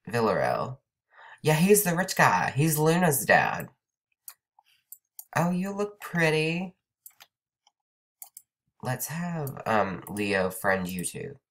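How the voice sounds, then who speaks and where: Stuffy-nosed young woman chatting slowly. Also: extremely low pitch, a bit bright. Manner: chatty, rambling, says "like" and "um" often. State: stuffed up from a cold. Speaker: let's play host. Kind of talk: casual gameplay commentary.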